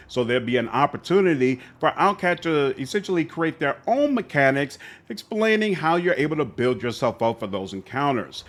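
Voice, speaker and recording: A man's voice: mid-range voice, fairly bright tone. A man speaking as a gaming channel host, giving enthusiastic, opinionated commentary straight to his viewers.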